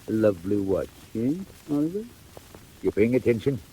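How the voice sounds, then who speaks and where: An actor playing a wily old gang master with oily, coaxing, falsely genial speech in 1940s audio drama style. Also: male voice, fairly low pitch, lively intonation, warm tone, close to an everyday voice. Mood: coaxing, sly. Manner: oily, theatrical, drawn-out coaxing. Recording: noisy old 1940s record.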